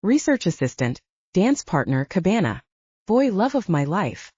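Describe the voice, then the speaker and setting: A woman's voice: fairly low pitch, sing-song, measured pace, a little mellow, slightly rough, dry recording, muffled recording, full character voice. A woman reading the lines of a nervous, self-mocking young human woman in an audiobook. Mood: anxious.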